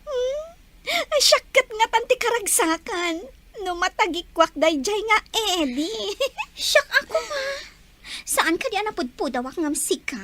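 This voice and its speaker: A woman's voice: very high-pitched, very animated, a little slow, fairly bright tone, a little hiss or hum, heavily acted. A woman acting a young female student in an audio drama, insecure and plaintive, speaking expressively. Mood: gossipy, whiny.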